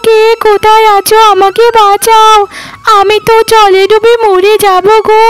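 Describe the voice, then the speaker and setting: A humbled rich village wife from a cartoon, played by a woman. Her voice is extremely high, and she speaks melodramatically, beseeching and repeating herself. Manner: wailing, beseeching, repetitive. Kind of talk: melodramatic Bengali cartoon dub acting.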